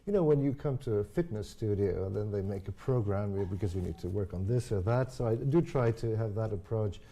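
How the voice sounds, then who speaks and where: Deep gravelly older man, Croatian native speaker. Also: expressive, fairly quick, dark tone. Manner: thoughtful, many restarts and agreeing yeahs. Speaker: horn player and teacher. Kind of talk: live online interview.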